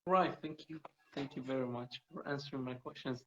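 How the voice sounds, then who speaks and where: A man's voice: mid-range voice, a little fast. A man from Morocco with a Moroccan accent, an English learner asking questions in casual chat and searching for words. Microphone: online video call. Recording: muffled, lo-fi online call audio.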